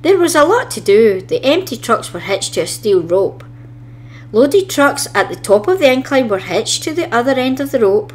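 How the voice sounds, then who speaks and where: A woman's voice: a little high, sing-song, normal speed, a little hiss or hum. A woman narrating a children's story expressively, reading from a script as a storybook reader.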